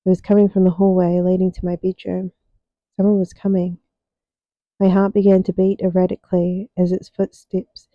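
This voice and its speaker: A young woman reading her own fiction aloud as soft ASMR narration, unhurried, with deliberate pauses. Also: fairly low pitch, dry recording, muffled recording.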